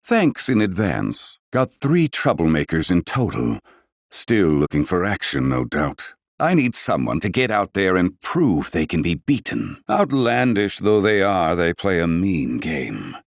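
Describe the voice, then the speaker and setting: An actor playing a smooth-talking, calculating casino owner in a video game, with a suave, insinuating and formally polite manner. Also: male voice, deep voice, wildly swooping pitch, a little slow, no echo, studio quality, heavily acted. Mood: businesslike, scheming. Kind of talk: scripted video game dialogue.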